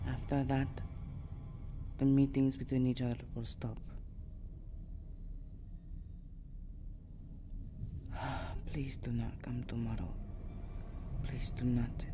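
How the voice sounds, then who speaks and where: A woman playing a proud, guarded, seductive vampire in an ASMR roleplay, speaking in a breathy, often whispered way with formal, haughty phrasing. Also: very deep voice, noisy background. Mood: cold, weary. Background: ambient background sound.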